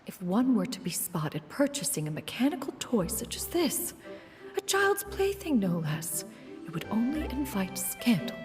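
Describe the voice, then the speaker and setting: An actress voicing a dignified immortal woman musing in a hushed, secretive aside. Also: a little high, big pitch swings, moderate pace. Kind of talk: game dialogue. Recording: game music behind.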